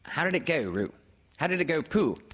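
A man puts on a scheming, officious rabbit from a children's storybook. He is brisk and bossy, with a raised, very animated voice. A long reverb hangs around the words. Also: fairly high pitch, husky, voice pushed up, exaggerated voice. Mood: urgent prompting. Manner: brisk, instructing. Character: bossy, scheming male rabbit, busy organiser.

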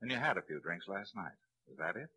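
An actor playing a shrewd police lieutenant in a crime drama, a probing interrogator who can sound falsely kindly. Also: male voice, deep voice, big pitch swings, a little fast, pushed and bright, husky, heavily acted, poor audio. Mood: coaxing. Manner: probing, soothing then blunt. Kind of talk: dramatic film-noir crime acting.

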